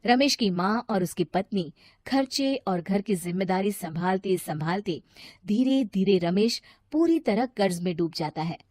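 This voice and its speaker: A woman's voice: mid-range voice, expressive, measured pace, a little mellow, dry recording. A woman reading scripted story narration as the storyteller.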